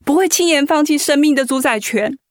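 An actress playing a devoted, firm wife in a radio drama, speaking earnestly and emphatically. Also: female voice, sits high, brisk, no echo, studio quality, lightly acted. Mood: firm, pleading. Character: devoted, firm wife urging on her sick husband. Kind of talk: Mandarin radio drama acting.

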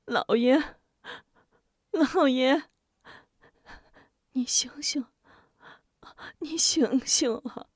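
A woman's voice: sits high, drawn-out words, long pauses, slightly rough, dry recording, studio quality, pitched above the performer's own voice, heavily acted. A woman voicing a grieving young noble wife who calls out in tearful pleading.

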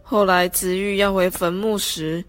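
A woman's voice: medium pitch, flat delivery, unhurried. A woman with a Taiwanese Mandarin accent reading scripted narration for an amateur radio drama. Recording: background music under the voice.

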